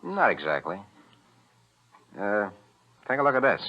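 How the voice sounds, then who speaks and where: Low-voiced, brash old-radio crook. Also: male voice, huge pitch swings, unhurried. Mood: cagey. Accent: American. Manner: curt, slangy, tough-guy snap. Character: brash, hard-boiled young male crook, impatient and cocky. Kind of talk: 1940s radio thriller acting. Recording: muffled, noisy old 1940s radio recording.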